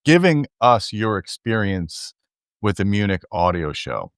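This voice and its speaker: An American man with a low, smooth and resonant voice hosts a casual chat. He sounds close and dry, with hardly any room on the recording. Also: sing-song, a little slow, dry recording. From United States. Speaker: host, audio reviewer.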